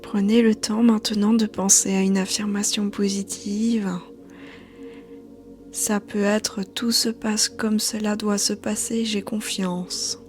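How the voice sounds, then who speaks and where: A woman yoga teacher guiding a meditation in a soothing, hushed, breathy voice. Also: mid-range voice, level tone, takes it slowly. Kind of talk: guided meditation narration. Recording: soft background music. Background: quiet music.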